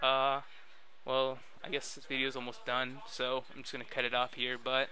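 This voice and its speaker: A young man records a casual vlog update over faint background noise. His voice is very bright and forward, yet his intonation stays flat. He rambles informally, full of slang and a bit self-deprecating. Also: medium pitch, moderate pace, low-quality recording. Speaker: video creator addressing subscribers.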